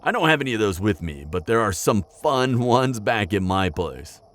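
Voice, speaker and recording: A man playing a chatty, pragmatic necromancer in an audio roleplay, talking casually and a little haltingly straight to the listener. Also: on the low side, very animated, a bit bright. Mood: chatty, amused. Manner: casual, hesitant asides, frequent chuckling. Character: chatty, upbeat, pragmatic male necromancer, former tailor. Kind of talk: conversational roleplay addressed to the listener.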